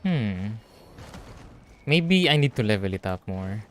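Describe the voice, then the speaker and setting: A man's voice: on the low side, very animated, slow pace, slight background noise. A man from the Philippines with a Filipino accent, thinking aloud in casual livestream game commentary. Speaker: streamer. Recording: game music behind the voice. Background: video game music.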